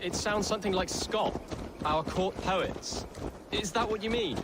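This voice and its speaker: An actor playing a bookish, unsure young English prince in a video game, thoughtful and well-spoken. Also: male voice, high-pitched, a little slow, heavy background noise. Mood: curious. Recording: loud outdoor ambience behind voice.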